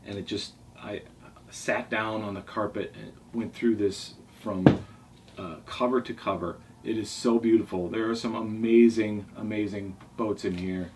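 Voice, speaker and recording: A middle-aged man with a fairly deep voice hosts a casual vlog from a table in a small room. He rambles along with enthusiasm. The mic sits well away from him and picks up some background noise. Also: fairly even intonation, unhurried. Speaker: sailing vlog host. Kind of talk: casual talk reviewing favourite books. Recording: distant mic, noisy room ambience.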